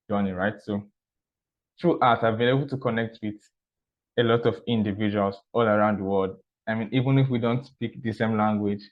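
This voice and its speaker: A man sharing personal stories in a measured, casual way, often repeating words and asking "right?". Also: lowish voice. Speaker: art teacher and architecture student. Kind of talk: casual talk. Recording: dull, muffled recording.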